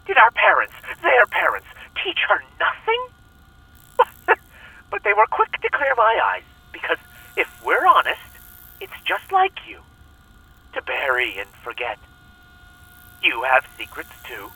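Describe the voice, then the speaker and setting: An actor playing a fanatical, hunted cult leader in a horror audio drama, theatrical and manipulative, preaching and pleading on a taped message. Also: male voice, sits high, huge pitch swings, measured pace, long pauses, bright, forceful tone, no room sound, distant mic, exaggerated voice, low-quality recording. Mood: wounded fury. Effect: played back as a taped message.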